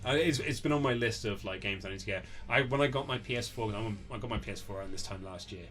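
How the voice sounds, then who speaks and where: A man's voice: fairly low pitch, fast, fairly bright tone. A British man chatting informally and enthusiastically as the guest in a casual interview. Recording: lo-fi amateur setup with faint music.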